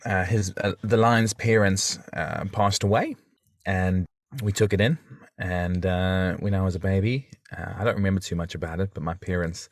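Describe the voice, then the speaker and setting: A South African man in his thirties talks in a low voice, friendly and chatty as a podcast guest. His humor is self-deprecating, and his voice is dry and close to the mic. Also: fairly even intonation, normal speed, dry recording. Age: 30s. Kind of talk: casual interview.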